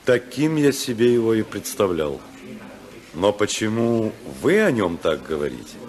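A man's voice: on the low side, very animated, unhurried, a little mellow. A male actor playing a blunt, uneasy rebel politician in a 1950s radio drama. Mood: earnest, uneasy.

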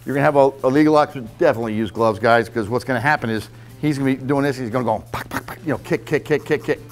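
A man's voice: mid-range voice, racing. A man in his fifties giving a casual, blunt fishing seminar in a club meeting room, checking in with "okay?" as he goes. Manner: blunt, folksy, repeats "okay?" to check listeners. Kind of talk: casual hands-on fishing talk.

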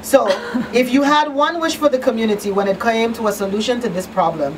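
A woman's voice: a little high, brisk. A middle-aged woman hosting a radio talk show conversationally, stressing points by repeating them. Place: small radio studio. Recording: noisy, distant mic.

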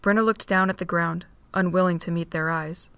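A woman narrating a fan-made audio drama in a steady, plain reading voice. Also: lowish voice, fairly quick, clear, resonant tone, no echo.

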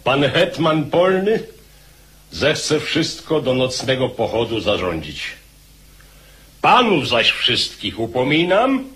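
Authoritative army commander, radio drama, measured, unhurried, very varied intonation. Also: male voice, fairly high pitch, a bit bright, exaggerated voice. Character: authoritative senior army commander calming quarrelling officers.

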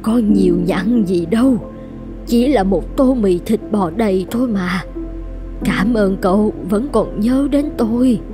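A woman voicing a kindly, humble elderly shop owner in a cartoon, gently protesting. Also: mid-range voice, measured pace, music in the background, close to an everyday voice. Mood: heartfelt. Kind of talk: cartoon dubbing.

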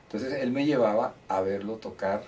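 A man's voice: fairly low pitch, normal speed, darkish tone. An older man from Córdoba, Colombia, telling stories from his life as a musician in a chatty, anecdotal way, with hesitant restarts. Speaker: musician interviewee. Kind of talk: relaxed storytelling interview. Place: indoor room beside a grand piano. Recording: distant mic with faint room noise.

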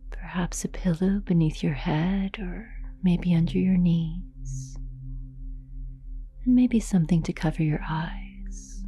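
A woman leading a guided meditation in a soft, breathy near-whisper, calm and gentle, with long unhurried pauses. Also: fairly low pitch. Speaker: yoga nidra meditation guide. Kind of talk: guided meditation, slow and soothing narration.

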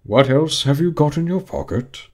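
A man voicing a pompous male dodo bird in a storybook, speaking in a grave, deliberate, ceremonious manner. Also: fairly high pitch, animated, darkish tone, pitched above the performer's own voice. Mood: solemn. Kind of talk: animated storybook character reading.

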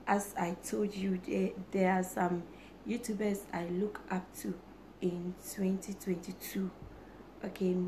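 A hoarse woman, chatting slowly to camera for her channel and often repeating herself. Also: medium pitch, warm tone, a little hiss or hum, rough recording. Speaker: YouTuber. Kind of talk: casual talk to camera. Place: small indoor room.

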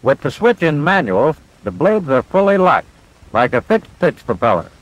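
A man's voice: on the high side, sing-song, normal speed, no room sound, poor audio. A man playing a patient flight instructor, explaining methodically in the style of a 1940s military training film. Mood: patient, instructive. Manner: clear, methodical instructional delivery. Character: instructor pilot, patient, confident, explaining cockpit controls. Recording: vintage 1940s film soundtrack.

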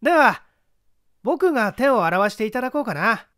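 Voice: mid-range voice, sing-song, moderate pace, fairly bright tone, dry recording, studio quality, voice pushed up. A reader acting a cool, assured young male sleuth. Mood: cool, wry. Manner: assured, wry, commanding. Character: confident young male detective, cool and teasing.

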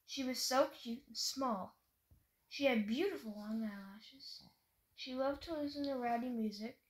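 A woman's voice: on the high side, unhurried, low-quality recording. A woman reading a children's story aloud in a playful, sing-song way, leaning on the rhymes. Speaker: picture book reader. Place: bedroom at home, indoors. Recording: home video with clear background noise.

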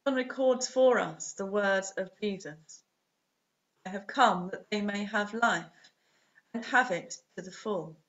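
A woman in her forties reading a scripted sermon as a priest, in measured, deliberate short phrases, recorded on a webcam at home. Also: medium pitch, a bit bright. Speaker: preacher, Anglican priest. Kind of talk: scripted sermon read to camera. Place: small home room, indoors. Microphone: webcam or laptop at desk distance. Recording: dull, muffled webcam audio.

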